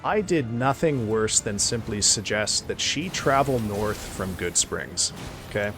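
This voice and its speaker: A man chatting casually on a live stream, excitable and thanking viewers, his voice getting hoarse. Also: fairly low pitch, measured pace, music in the background. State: losing his voice.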